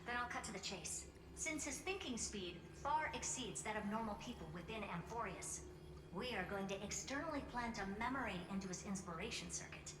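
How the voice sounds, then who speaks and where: A woman's voice: fairly high pitch, lively intonation, fairly quick, background noise, close to an everyday voice. An actress playing a confident, businesslike female genius in a video game, explaining in a matter-of-fact way. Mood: decisive. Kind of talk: video game character acting. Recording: distant, echoey hall, loud music. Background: loud game music.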